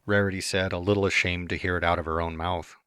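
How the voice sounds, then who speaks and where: A man narrates a storybook tale in a very low, deep voice, slipping into a cocky, teasing tone for the hero's own lines. The sound is close and dry, with no room around it. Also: level tone, fast, a bit bright, clean tone, dry recording. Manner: first-person narration with playful smug asides. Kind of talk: fan dramatic reading of a story.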